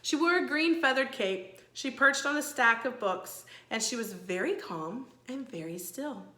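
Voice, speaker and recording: A woman teacher reading a children's story aloud expressively, pausing to explain things to young listeners. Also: fairly high pitch, expressive, pushed and bright. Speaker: first grade teacher. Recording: echoey room.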